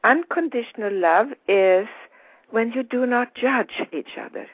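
A woman with a Swedish accent hosts a spiritual interview, feeling her way through phrases with pauses. Her voice comes through dry and narrow, like a telephone line. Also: fairly high pitch, expressive, slightly rough, no echo. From Sweden. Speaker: radio host interviewing a guest. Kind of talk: reflective spiritual interview talk. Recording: thin, phone-like radio audio.

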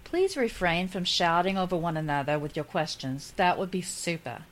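A woman playing a young journalist who opens a press conference in an upbeat, brisk manner. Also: fairly low pitch, expressive, close to an everyday voice. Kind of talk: amateur audio drama acting.

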